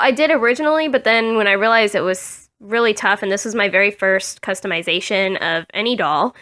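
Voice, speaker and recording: Chatty woman with a slight cold-husky voice. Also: fairly high pitch, pushed and bright. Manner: chatty, many ums and self-corrections. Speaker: doll customizer showing her work. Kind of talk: casual show-and-tell vlog. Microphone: phone camera.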